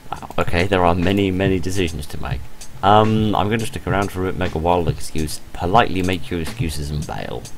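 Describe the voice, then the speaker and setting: A man's voice: low voice, brisk, few pauses, slight background noise. A man reading a visual novel aloud as a game host, mixing playful, dramatic delivery with casual commentary. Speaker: host of a game playthrough channel. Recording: music behind the voice. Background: game music.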